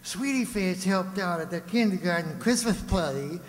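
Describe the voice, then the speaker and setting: A man playing a meek, henpecked husband in a radio comedy, prim and sweetly deadpan. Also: high voice, normal speed. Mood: meek, cheerful. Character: meek, mild henpecked husband, innocently gleeful. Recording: reverberant community stage hall.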